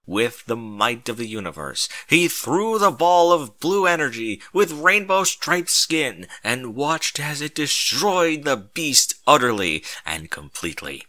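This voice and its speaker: Very forceful, animated male storyteller. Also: medium pitch, a little slow, few pauses. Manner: mock-epic, theatrical emphasis. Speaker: narrator. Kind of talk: dramatic comedic fan-fiction narration.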